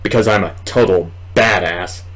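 A man in his twenties giving casual, rambling let's play commentary at home, halting at times. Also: deep voice, fairly bright tone. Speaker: gaming video host. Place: small room at home, indoors.

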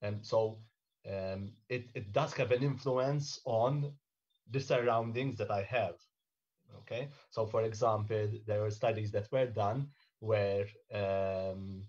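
Thirties man, panelist, low voice, muffled webcam sound, explanatory and measured. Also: a little mellow. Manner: measured, explanatory, checks in with "okay?" and "right?". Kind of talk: panel discussion on a video call. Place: home room indoors. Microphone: webcam on a video call.

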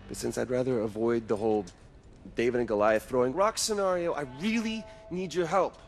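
An actor playing a headstrong young superhero, a cocky little brother who talks urgently and stammers in a video game. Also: male voice, medium pitch, brisk, creaky, soft background music, natural voice. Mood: determined. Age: young adult. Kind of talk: cinematic video game acting.

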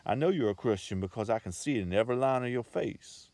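A man's voice: mid-range voice, animated, fast talker, a little mellow, dry recording, pitched above the performer's own voice, lightly acted. Man playing a chirpy young Bible salesman, folksy, wheedling, earnest. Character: cheerful young male country Bible salesman.

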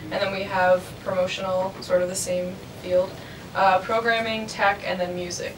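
A woman's voice: mid-range voice, little pitch movement, moderate pace. A woman in her twenties giving casual, explanatory answers as an interview guest. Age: 20s. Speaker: interview guest, radio station manager. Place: room with couches, amps and instruments. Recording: echoey room, distant mic, faint noise.

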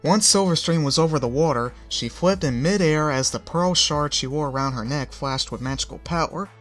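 Guy reading a fan story, steady and even, light music in the back. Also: a little high, normal speed. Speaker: fanfic reader. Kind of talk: storybook narration. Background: faint music.

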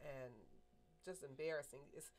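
Middle-aged woman cohosting a chat show, low firm voice, talks into a desk mic. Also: lively intonation, a crowd in the background. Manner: firm, measured, opinionated. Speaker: talk show co-host. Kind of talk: casual group chat. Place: small studio room with a table, indoors. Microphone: desk mic on a stand.

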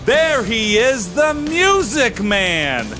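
A man's voice: very high-pitched, big pitch swings, extremely slow, over music, heavily acted. A man playing a needling vampire henchman in an audio drama, taunting and casual. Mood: teasing. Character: needling, cocky male vampire henchman in a bar.

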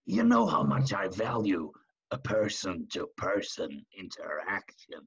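Man acting a very deep, husky, meek henchman. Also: huge pitch swings, measured pace, dull audio, full character voice. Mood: earnest hesitant. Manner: hesitant, earnest, deferential. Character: meek, chatty, conscientious hired thug reporting to boss.

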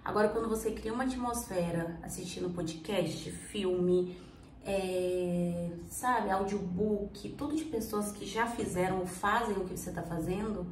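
A woman's voice: mid-range voice, a little slow. A young woman with a cold chats casually and rambles as she does her makeup, answering her own questions. She sits a little back from the microphone in a small room with a roomy echo and a faint hum behind her. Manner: chatty, rambling, self-questioning. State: has a cold. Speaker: vlogger. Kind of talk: casual chat while doing makeup. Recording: roomy, slightly distant mic, faint hum.

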